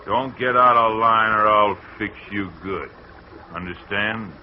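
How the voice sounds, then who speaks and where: A man playing a hulking, surly farmhand in a 1940s radio drama, gruff and menacing, on a muffled old recording. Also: lowish voice, slow pace, slight background noise. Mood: threatening.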